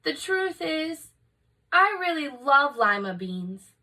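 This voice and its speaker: Woman as a dramatic, high-voiced storybook girl. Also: big pitch swings, slow pace, bright, forceful tone, recorded from a distance, heavily acted. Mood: urgent, confessing. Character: young girl, reluctant, dramatic, secretly yearning. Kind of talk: children's storybook character voice.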